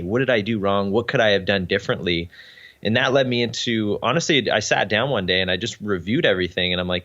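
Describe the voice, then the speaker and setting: A man's voice: fairly low pitch, a bit bright, highs cut off. A young Canadian man, a podcast guest, talking earnestly in a casual interview with frequent ums. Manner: earnest, uses many ums.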